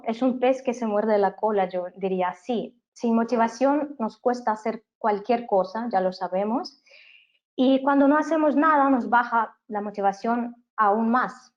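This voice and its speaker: A woman in her thirties from Canada, a non-native speaker with a foreign accent, presenting an online masterclass in a measured way, with fillers and restarts. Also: medium pitch, highs cut off. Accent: foreign accent in Spanish. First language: not Spanish. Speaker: webinar presenter. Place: home office.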